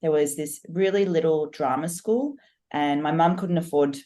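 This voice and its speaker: A woman with a remarkably deep voice talks through her past in long, flowing stories. She is earnest and reflective, a podcast guest who coaches business owners. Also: normal speed, mellow. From Australia. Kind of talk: personal storytelling in a podcast interview.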